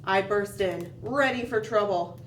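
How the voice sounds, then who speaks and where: Lady doing kids storytime, takes her time, expressive read-aloud w little questions, kinda echoey room. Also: medium pitch. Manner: expressive, dramatic pauses, questioning asides. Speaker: children's library storytime host. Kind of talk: animated picture-book read-aloud with asides to young children. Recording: roomy, distant mic, faint background noise.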